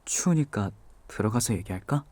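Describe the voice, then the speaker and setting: A man playing a soft-spoken young boyfriend. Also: lowish voice, animated, normal speed, natural voice. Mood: gentle, caring. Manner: soft-spoken, hushed and tender. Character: gentle devoted young boyfriend, anxious. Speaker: voice actor. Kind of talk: ASMR audio drama.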